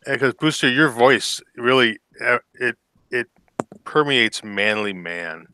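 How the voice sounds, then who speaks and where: A man's voice: medium pitch, lively intonation, takes it slowly, bright, forceful tone, smooth, no room sound. A man talking in a casual group chat on a call, teasing and upbeat.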